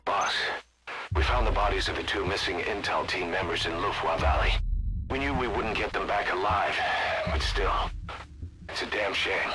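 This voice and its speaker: An actor playing an urgent, hard-driven military second-in-command in a game, giving terse, emphatic briefings. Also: male voice, deep voice, normal speed, long pauses, lightly acted. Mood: grim urgency. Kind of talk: dramatic video game cutscene acting. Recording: loud music over the voice.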